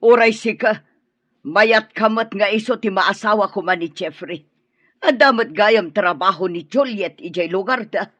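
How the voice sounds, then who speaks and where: A woman playing a needy, scheming middle-aged mother-in-law in an Ilocano radio drama, with a husky voice. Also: medium pitch, normal speed, a bit bright, lightly acted. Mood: gossipy. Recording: dull audio with music underneath.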